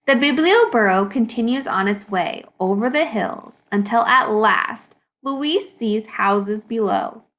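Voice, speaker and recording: A woman with a fairly high voice reads a picture book to young pupils as their teacher. Her pitch moves up and down in a very lively way. She takes her time and stops to explain or question in an instructive manner. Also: takes it slowly, hardly any pauses. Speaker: elementary school teacher.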